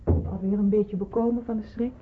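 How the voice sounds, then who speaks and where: A woman's voice: mid-range voice, fairly even intonation, moderate pace, background noise, lightly acted. An actress playing a stern but motherly senior nurse in a Dutch radio play, speaking with persuasive authority. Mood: motherly, consoling. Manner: authoritative, admonishing. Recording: muffled, phone-like old radio recording.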